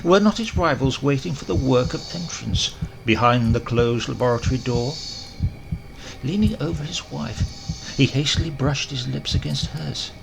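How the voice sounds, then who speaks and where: A man narrates gothic horror in a theatrical, formal style. His mid-pitched voice has a creaky, gravelly edge and lands words with emphasis. The recording carries clear noise and clicks. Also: moderate pace. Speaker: audiobook narrator. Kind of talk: dramatic scripted horror narration.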